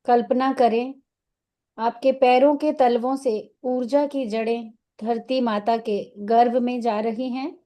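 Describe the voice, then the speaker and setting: Slow, mellow woman guiding meditation. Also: on the high side, steady tone. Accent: Indian. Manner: soothing, unhurried, instructing in short phrases with pauses. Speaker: guided meditation teacher. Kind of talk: guided meditation.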